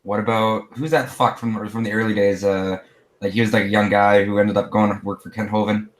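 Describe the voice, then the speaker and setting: A man with a fairly low voice talks casually and swears freely in an online group chat. There is a faint noise behind his voice. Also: little pitch movement, a little fast, low-quality recording. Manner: informal, profane.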